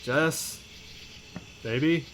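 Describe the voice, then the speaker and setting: Drunken, remorseful young boyfriend, audio drama; slow, pleading calls over faint night insects. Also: male voice, fairly high pitch, a bit bright, a little hiss or hum. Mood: pleading, desperate.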